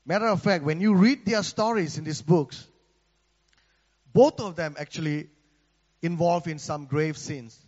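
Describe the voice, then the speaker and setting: Malaysian guy preaching, high voice, chatty, lots of amens, muffled echoey hall. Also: unhurried, long pauses, a little mellow. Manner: conversational, repeats words, asks the congregation for amen. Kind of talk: sermon.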